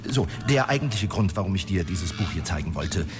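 An actor playing a dithering wizard who explains things dryly and a little flustered in a German fantasy radio drama. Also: male voice, low voice, expressive, a little fast, over music. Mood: matter-of-fact, resigned. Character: fussy, dithering wizard with a dry, bookish manner.

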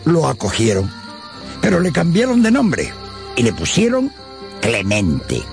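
A man's voice: medium pitch, huge pitch swings, a little slow, vocal fry. A man narrating a radio drama as a theatrical storyteller who addresses his listeners conversationally. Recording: music under the voice.

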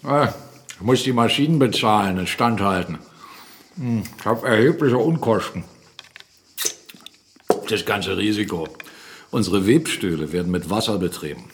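An actor playing a proud, brusque mill owner and captain in a radio drama, boastful and quick to argue. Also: male voice, fairly low pitch, animated, a little hiss or hum. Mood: defiant. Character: blunt, proud mill-owning captain, a gruff businessman.

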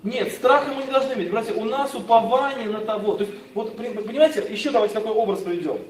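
A man leading a church Bible study, fast and animated, stumbling over restarts as he explains. His voice is high for a man, and he is picked up from across an echoing room. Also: slight background noise. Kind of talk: informal sermon with questions and answers. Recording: echoey room, mic far off.

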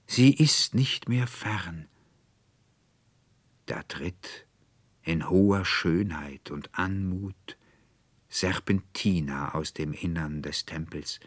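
A man with a standard German accent reading literary fiction in a solemn, rapturous, drawn-out manner, phrases fading into breathy whispers. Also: rumbling low, fairly bright tone, no room sound. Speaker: literary reader. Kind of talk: dramatic literary audiobook reading.

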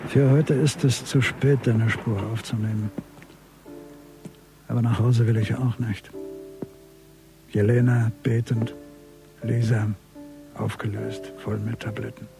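An actor playing a bitter, haunted military man's inner thoughts in an intimate radio drama monologue, with a gravelly voice. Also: mid-range voice, little pitch movement, slow, long pauses, music in the background. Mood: weary, bitter. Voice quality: creaky, gravelly.

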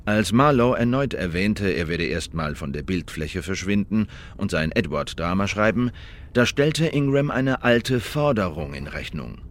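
An actor playing a male eyewitness who recounts a killing in a formal, measured, insistent manner in a scripted radio play. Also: low voice, expressive, a little hiss or hum. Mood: measured, recounting. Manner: formal, measured, precise testimony. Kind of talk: scripted first-person radio drama narration.

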